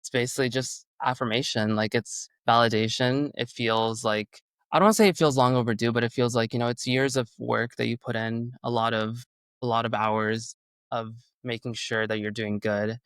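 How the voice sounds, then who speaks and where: A man's voice: medium pitch, level tone, normal speed, a bit bright, clean tone, no room sound. Chatty young man, dry close sound. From Canada. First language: Urdu. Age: young adult. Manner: rambling, many "like" and "you know" fillers. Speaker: singer-songwriter guest. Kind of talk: casual interview chat.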